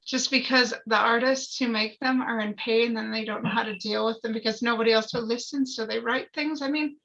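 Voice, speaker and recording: A woman's voice: fairly high pitch, steady tone, fairly quick, creaky, a bit bright. A woman in casual discussion, speaking candidly and emphatically about things in a personal way, over dull lo-fi audio.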